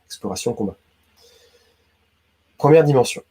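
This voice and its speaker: A man's voice: medium pitch, expressive, moderate pace, mellow, breathy edge. A man from Brittany hosting a casual quiz video, chatty and talking straight to the viewer with lots of "hein" and "d'accord". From Brittany, France. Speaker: YouTube host.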